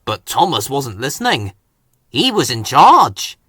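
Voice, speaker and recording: A man narrating a children's story in an expressive read-aloud style. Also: medium pitch, a little slow, husky, dry recording, clean studio sound.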